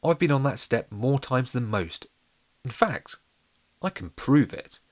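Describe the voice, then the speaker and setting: Cheeky storybook schoolboy, bold and excitable, pitch leaping about, performed by a man. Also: a little slow. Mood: eager. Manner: excitable, slangy exclamations.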